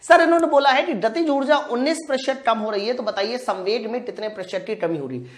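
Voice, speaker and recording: Female physics tutor, fairly high voice, informal question-and-answer delivery for exam students. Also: animated, fast. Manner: asks questions and answers them herself; addresses students directly and informally. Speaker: physics teacher for exam preparation. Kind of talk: live lesson, working through practice questions step by step.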